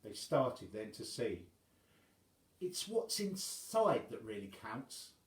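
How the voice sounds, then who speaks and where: Expressive, unhurried male storyteller in echoey room. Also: mid-range voice. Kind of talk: expressive children's story reading.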